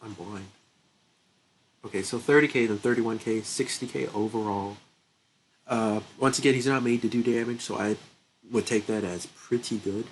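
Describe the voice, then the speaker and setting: A man's voice: fairly low pitch, steady tone, moderate pace, slight background noise, rough recording. A young man with a stuffy nose, getting sick, chatting casually through his gameplay commentary, laughing often and thinking aloud. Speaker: gaming channel host.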